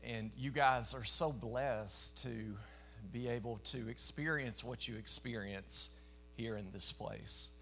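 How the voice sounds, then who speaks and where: A man in his forties with a thick East Tennessee drawl preaches to a congregation, folksy and emphatic, now and then rising into louder bursts. His voice sits at a middle pitch. A faint electrical hum runs under the recording. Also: slow pace, gravelly. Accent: East Tennessee Southern. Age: 40s. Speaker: traveling preacher. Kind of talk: sermon. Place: church meeting in front of a congregation.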